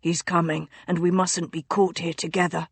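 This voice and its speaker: A woman voicing a feverish, delirious young male duke who murmurs in a low hush. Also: flat delivery, moderate pace, creaky, husky, dry recording. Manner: low hushed murmuring, drifting in and out.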